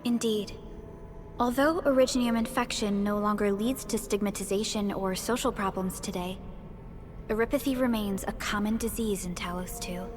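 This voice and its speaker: An actress playing a composed, reassuring female mission advisor in a video game, speaking in a measured, formal way. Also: medium pitch, lightly acted. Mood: gentle reassurance. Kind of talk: dramatic video game voice acting. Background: game music.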